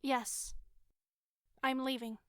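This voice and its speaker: A woman's voice: high voice, little pitch movement, extremely slow, a bit bright, smooth, dry recording, clean studio sound, full character voice. An actress playing an embittered, worn young woman in an audio drama, speaking in a curt, bitter way. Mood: bitter, resigned.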